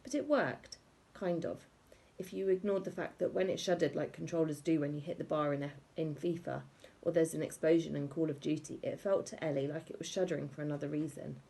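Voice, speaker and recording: A woman reads a children's story expressively. Her voice is lowish and mellow, with a gravelly creak as she narrates. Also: fairly quick. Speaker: audiobook reader.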